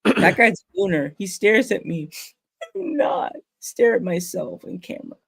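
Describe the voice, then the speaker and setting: A man's voice: sits high, expressive, unhurried, creaky, slightly rough, no echo. A man with a stuffed-up nose, hosting a podcast and chatting casually, laughing often. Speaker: podcast host. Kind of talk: casual chat.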